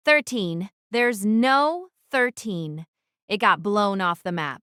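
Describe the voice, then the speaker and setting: A woman's voice: medium pitch, slow, fairly bright tone, clean tone, no room sound, clean studio sound. A woman playing a guarded, wary teenage girl archer who narrates her own story in an audio drama. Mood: suspicious, guarded.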